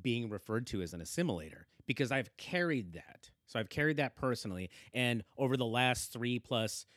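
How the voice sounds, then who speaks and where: A man's voice: mid-range voice, lively intonation, fairly quick, fairly bright tone, no echo, clean studio sound. A man in his forties, born in the Philippines, speaking earnestly as a guest in a conversational interview, with emphatic agreements and frequent fillers. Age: 40s.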